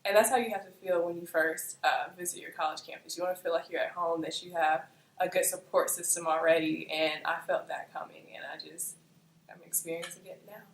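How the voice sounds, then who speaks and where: A woman's voice: on the low side, steady tone, fairly quick, echoey room, a little hiss or hum. A woman in her late teens, a first-year student, speaking sincerely and with poise in an interview. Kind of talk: interview answer. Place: indoor room before a campus backdrop.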